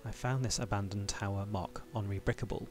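A man hosting his own channel, reading a scripted review as voice-over in a measured, tidy way. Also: lowish voice, fairly even intonation, a little mellow, soft background music. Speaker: toy-building channel host.

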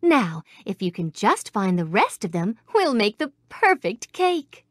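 A woman voicing a gentle young princess in a game, with a soft, sweet, refined voice and a polite, gracious way of talking, recorded close in a studio. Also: medium pitch, sing-song, a little slow, no echo. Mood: grateful. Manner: polite, gracious, warmly grateful. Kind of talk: game voice clips. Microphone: close studio mic.

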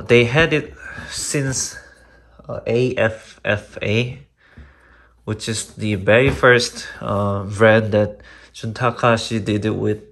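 Slow-talking man, halting casual review. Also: fairly low pitch, fairly bright tone. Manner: halting, rambling, loosely worded with mild swearing. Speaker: sneaker and boot reviewer. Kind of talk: casual unscripted product review. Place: small indoor room at a desk. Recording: clearly noisy home recording with clicks.

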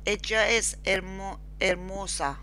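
A woman teacher with a Persian accent speaks at a very slow, careful pace, and her voice is bright and forward. She drills phrases one word at a time, with long pauses in between. A faint hum sits under the recording from her desktop mic. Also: mid-range voice, fairly even intonation. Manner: slow, deliberate, spells out each word with pauses. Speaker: Spanish teacher. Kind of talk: slow screen-recorded language lesson with repeat-after-me drills.

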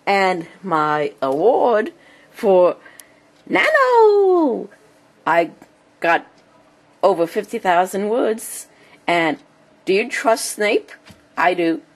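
Slow, halting woman with a cold. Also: mid-range voice, big pitch swings. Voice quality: speech impediment. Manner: halting, choppy phrases. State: has a cold, clearing throat. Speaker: amateur video blogger and hobby writer. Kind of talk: casual narrated home room tour. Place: small home computer room. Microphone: handheld camera held by the speaker.

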